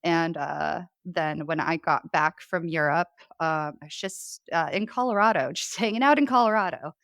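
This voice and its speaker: A woman's voice: sits low, moderate pace, fairly bright tone, no room sound, clean studio sound. A woman raised in Chicago, with a slight Chicago accent, talking casually as a podcast guest, chatty and laughing often. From born in Poland, raised in Chicago. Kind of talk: casual conversation.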